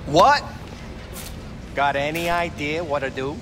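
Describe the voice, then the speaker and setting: An actor playing a grudging, needling garage mechanic in a video game, with a folksy, clipped, doubtful way of talking. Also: male voice, fairly high pitch, takes it slowly, background noise. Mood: skeptical.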